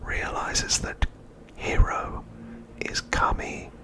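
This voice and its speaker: Slow whispering man, ASMR narration. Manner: soft, unhurried whispering with deliberate pauses. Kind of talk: whispered ASMR, scripted episode recap narration.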